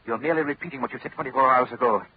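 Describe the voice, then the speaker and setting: An actor playing a grave, determined hero in a 1930s radio drama, speaking earnestly and urgently. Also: male voice, mid-range voice, very fast, husky. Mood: desperate. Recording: tinny old radio broadcast with faint hiss.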